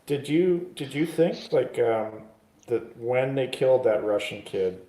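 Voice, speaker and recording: A man chatting casually as a film review guest, halting, with deadpan jokes. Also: medium pitch, reverberant, slight background noise, rough recording. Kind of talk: casual chat.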